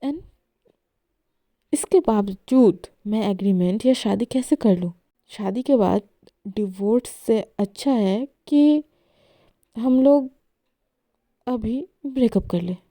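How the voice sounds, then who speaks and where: A woman voicing an angry young woman breaking off a relationship, loud and heated. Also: fairly high pitch, takes it slowly, long pauses, dry recording, studio quality.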